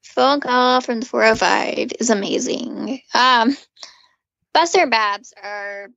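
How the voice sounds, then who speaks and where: A woman's voice: fairly high pitch, takes it slowly, creaky, fairly bright tone, no echo, dull audio. A woman co-hosting a podcast, recapping casually as an enthusiastic fan with rambling asides. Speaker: podcast co-host. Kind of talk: casual chatty episode recap.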